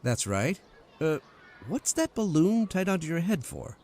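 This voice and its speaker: Actor as a breezy, animated, wisecracking man. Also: fairly high pitch, measured pace. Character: breezy, blunt, wisecracking man courting a widow. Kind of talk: old-time radio drama acting.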